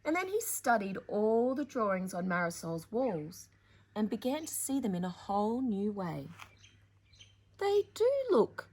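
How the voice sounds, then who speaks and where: A woman's voice: medium pitch, very animated, moderate pace, a little mellow, slightly rough. A woman presenting storytime, reading picture books in a playful, theatrical way with chatty asides to young listeners. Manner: playful, theatrical, with dramatic emphasis.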